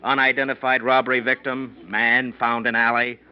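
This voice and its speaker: An actor playing a breezy, self-assured homicide detective in an old-time radio drama, chatty, slangy and emphatic. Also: male voice, mid-range voice, level tone, moderate pace, clear, resonant tone, close to an everyday voice. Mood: explaining. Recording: muffled, faintly noisy old radio recording.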